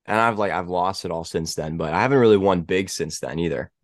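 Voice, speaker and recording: A young man with a stuffy head cold, hosting a casual sports podcast and talking in halting bursts with many restarts. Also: deep voice, no room sound, studio quality. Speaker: podcast host.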